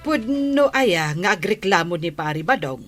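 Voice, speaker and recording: A woman's voice: lowish voice, sing-song, normal speed, a bit bright, faint music behind. A woman playing an inquisitive, gossiping neighbour in an Ilocano radio comedy drama, with an Ilocano accent. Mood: curious.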